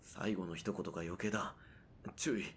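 An actor playing a stern, indignant army sergeant in a radio drama, with stiff military speech. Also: male voice, low voice, fairly even intonation, normal speed, a little hiss or hum, lightly acted. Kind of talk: Japanese radio drama acting.

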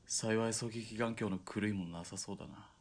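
An actor playing a calm army spotter in a radio drama, giving crisp commands in rough, joking speech. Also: male voice, on the low side, moderate pace. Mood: steady.

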